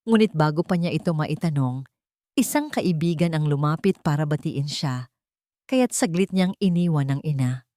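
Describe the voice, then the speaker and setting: A woman's voice: on the low side, warm tone, dry recording, studio quality. A woman storyteller delivering scripted, dramatic narration of a sentimental tale. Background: background music.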